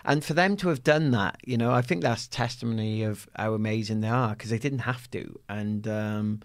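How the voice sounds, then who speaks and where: A man in his forties with a Newport, South Wales accent, a guest telling lively stories in a podcast studio, full of fillers and laughter. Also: lowish voice, moderate pace. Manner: animated storyteller, laughs often, many fillers. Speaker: guest, former athlete. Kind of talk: casual interview chat. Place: small padded podcast studio. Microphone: studio mic on boom arm.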